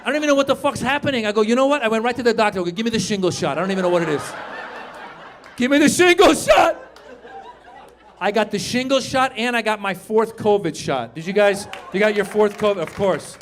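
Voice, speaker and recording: A man in his fifties performing a stand-up comedy set in a club, chatty and teasing the crowd, swinging loud for punchlines. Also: high-pitched, animated, fast talker, a little hiss or hum. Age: 50s. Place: comedy club stage with live audience. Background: audience laughter.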